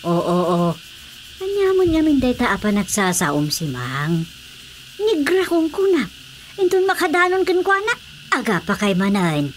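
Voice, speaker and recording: A woman's voice: on the high side, huge pitch swings, a little slow, a little hiss or hum. An actress with an Ilocano accent playing a nosy, outspoken village woman in a radio comedy drama. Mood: chiding.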